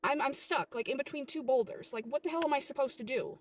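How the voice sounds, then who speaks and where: A woman's voice: high voice, fast. A woman playing an exasperated, defensive sandwich shop employee in a comedy skit, argumentative and incredulous.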